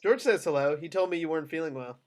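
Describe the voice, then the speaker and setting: A woman acting an earnest, gently persistent male suitor in an amateur romantic comedy read-through, tentative and polite. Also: sits low, animated, fast talker. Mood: gently solicitous.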